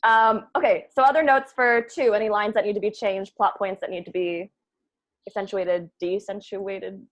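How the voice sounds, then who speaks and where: A woman with a mid-pitched voice chats on a video call as the writer running a read-through. She talks in a joking, slangy way while guiding the group. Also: fairly even intonation, normal speed, muffled recording. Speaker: writer and producer leading a cast table read. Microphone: video call microphone.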